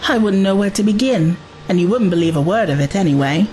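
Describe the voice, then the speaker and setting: A woman plays a resolute young adventurer's companion at a middling pitch. She sounds urgent yet reassuring, acting in audio drama style over prominent music. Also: animated, fast talker, dark tone, close to an everyday voice, low-quality recording.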